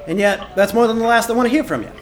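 A man's voice: extremely high pitch, rapid-fire. A man playing a bitter, grumpy dock boss in a noir radio drama, curt, surly and folksy. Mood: grudging, irritated. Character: grumpy bitter man guarding the docks, gruffly resentful.

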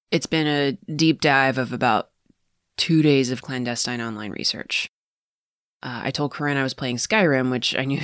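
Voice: mid-range voice, fairly bright tone, no room sound, clean studio sound, close to an everyday voice. An actor playing a determined amateur ghost investigator in an audio drama, confiding in a conversational, wry, self-interrupting way. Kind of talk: naturalistic audio drama monologue.

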